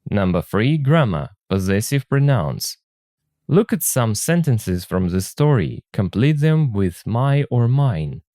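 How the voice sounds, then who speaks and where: Unhurried man teaching, lively intonation. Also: medium pitch, no room sound, clean studio sound. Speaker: English teacher. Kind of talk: textbook lesson with casual commentary.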